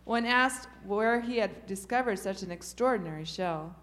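A woman reading a short story aloud to children in a steady storytelling manner. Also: mid-range voice, moderate pace. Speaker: story reader.

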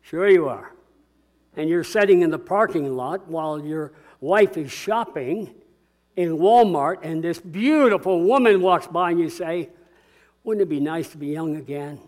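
An older man delivers a sermon in church with a booming, emphatic voice. His pitch sits noticeably high for a man, and he slows down to press home key words. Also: a little mellow. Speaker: pastor.

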